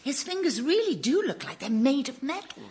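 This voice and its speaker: Curious, animated, easily impressed visitor. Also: female voice, sits high, normal speed. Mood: amazed, curious. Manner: inquisitive, polite. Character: curious, easily impressed woman asking questions on a tour. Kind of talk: radio sitcom acting.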